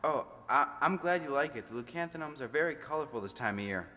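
A man's voice: medium pitch, fast, heavy background noise, lightly acted. A man playing a polite, hesitant, deferential gardener in an old-time radio comedy. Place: amateur stage reading in a hall. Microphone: stand microphone. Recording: echoey stage in a large hall.

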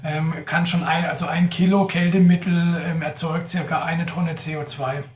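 A man's voice: fairly high pitch, level tone, normal speed, distant mic. Hesitant young man, roomy and off-mic. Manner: thoughtful, many hesitation fillers. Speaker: company expert answering audience questions. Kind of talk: live Q&A on a video call. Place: indoors in front of a sponsor backdrop.

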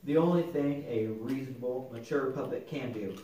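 A man's voice: mid-range voice, moderate pace, mellow, close to an everyday voice. A man voicing a mischievous, stubborn schoolboy puppet in a children's church show, with a casual, scheming, exaggerated delivery. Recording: very echoey, distant mic, noisy.

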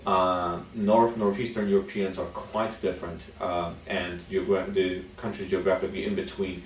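A young man speaks in a low, deep voice, pausing often on "uh" as he explains things slowly. He sits in an office, recorded from a little way off, so the room adds a clear echo. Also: flat delivery. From Poland. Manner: frequent "uh" fillers, measured delivery. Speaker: chamber of commerce executive. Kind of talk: instructional talk to camera. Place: office with logo backdrop. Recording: echoey room, mic at a distance.

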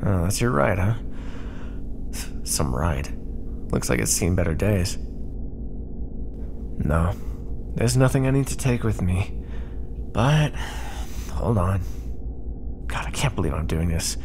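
A sarcastic, prickly starship crewman, voiced by a man in an ASMR roleplay. His pitch swings widely as he snaps and grumbles with exasperation. A faint ambient hum sits behind him. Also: expressive, creaky, full character voice. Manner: sarcastic, exasperated, quick-tempered.

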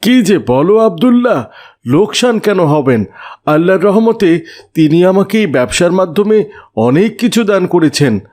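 A man's voice: high-pitched, animated, normal speed, darkish tone. A man voicing a generous, pious older market trader in a cartoon, with a hearty, calling manner. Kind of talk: cartoon voice acting.

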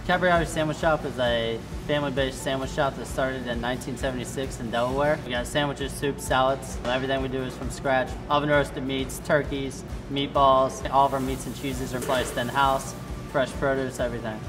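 Man talking fast about his food, upbeat, music under it. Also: medium pitch, steady tone. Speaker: restaurant owner. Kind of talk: promotional interview.

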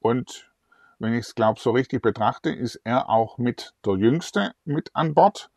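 Middle-aged host, rambling and friendly, dry lapel sound. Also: male voice, mid-range voice, slow pace, gravelly, a little mellow, no room sound. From southwest Germany. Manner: chatty, upbeat, rambling. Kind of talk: online celebrity interview. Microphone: lapel mic.

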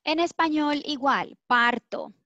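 Young woman interpreter, measured and slow; dull dry laptop-mic call sound. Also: high-pitched, bright, forceful tone, no room sound. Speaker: Spanish interpreter. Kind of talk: interpreting practice on a video call. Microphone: laptop mic on video call.

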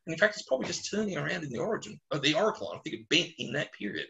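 An Australian man, a geologist answering questions informally on a webinar, speaking bluntly and colloquially with animated digressions. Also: fairly high pitch, rapid-fire, gravelly. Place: small closed room at home or office, on a video call.

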